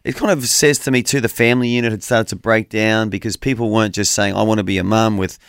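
A man's voice: lowish voice, steady tone, quick pace, gravelly, clean tone, no room sound, clean studio sound. Earnest man preaching over radio. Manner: earnest, preacherly, addresses co-host by name. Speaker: radio host. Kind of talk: conversational Bible teaching on radio.

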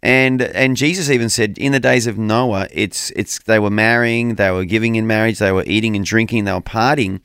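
A man's voice: fairly low pitch, steady tone, normal speed, hardly any pauses, fairly bright tone, smooth, no room sound, clean studio sound. Earnest man preaching over radio. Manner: earnest, preacherly, addresses co-host by name. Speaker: radio host. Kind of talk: conversational Bible teaching on radio.

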